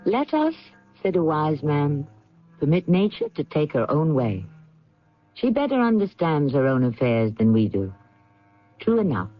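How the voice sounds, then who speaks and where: A man hosting a radio drama, narrating a script in a theatrical, deliberate, portentous way. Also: on the high side, sing-song, soft background music. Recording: dull lo-fi old radio recording.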